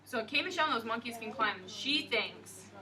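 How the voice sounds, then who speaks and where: A young woman teacher reading a picture book aloud to a class in an expressive, sing-song way and asking questions. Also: a little high, moderate pace, far from the mic, a crowd in the background, low-quality recording.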